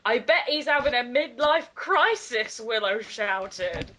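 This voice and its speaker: A woman's voice: a little high, animated, slow, fairly bright tone, slight background noise. A woman doing a dramatic read-aloud and bantering among friends in a game. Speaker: player in a dramatic reading game.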